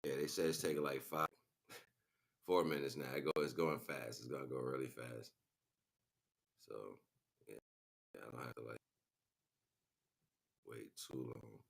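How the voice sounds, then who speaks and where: Male streamer, extremely low and creaky voice, loose unscripted delivery, rambling and slangy. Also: steady tone, unhurried, pauses often. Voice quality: gravelly. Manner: rambling, slangy, muttering to himself. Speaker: live streamer. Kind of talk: casual unscripted livestream chat.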